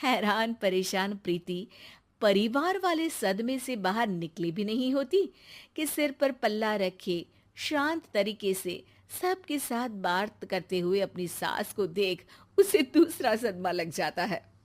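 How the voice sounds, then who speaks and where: A woman's voice: mid-range voice, lively intonation, takes it slowly. A woman reading scripted narration for a family moral story.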